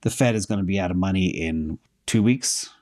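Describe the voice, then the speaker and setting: A middle-aged man with a dark, mellow voice hosts a casual livestream. He is hoarse from losing his voice. He talks loosely and thinks aloud with plenty of ums while checking numbers live. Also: low-pitched, fairly quick. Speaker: host answering viewer questions.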